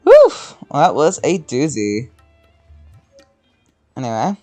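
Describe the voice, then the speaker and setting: A woman's voice: deep voice, wildly swooping pitch, slow, dark tone, soft background music. A woman giving casual, informal reactions as she plays a video game. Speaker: gameplay streamer.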